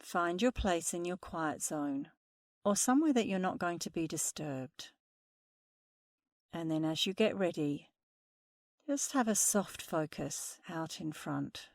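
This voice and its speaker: A woman meditation instructor speaking softly and soothingly through a guided meditation. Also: fairly low pitch, expressive, no room sound, studio quality. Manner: slow, soothing, soft-spoken guidance.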